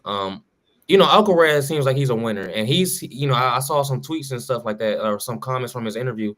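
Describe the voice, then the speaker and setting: A man's voice: medium pitch, animated, fairly quick, fairly bright tone. Slangy man riffing on sports. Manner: loose, slangy, lots of restarts and fillers. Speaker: podcast co-host. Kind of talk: casual sports talk.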